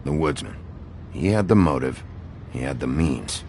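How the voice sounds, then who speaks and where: A man playing a gruff, brooding detective in a video game, terse and blunt, with a gravelly voice. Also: rumbling low, animated, moderate pace, a little hiss or hum, full character voice. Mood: grim, determined. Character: gruff, brooding detective, grim but protective adult man. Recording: loud music under the voice.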